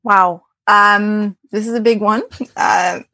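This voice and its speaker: A woman's voice: medium pitch, fairly even intonation, slow pace, bright, forceful tone, dry recording. A young adult woman with a French accent, a guest data scientist chatting casually in an interview, laughing and restarting her sentences often. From France.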